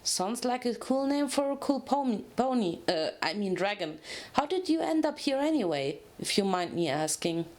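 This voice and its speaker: A woman's voice: on the high side, big pitch swings, normal speed, darkish tone. A woman acts out a female pony sidekick in a fantasy story reading. The character is practical and folksy, speaking bluntly and informally in a mid-range voice. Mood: friendly.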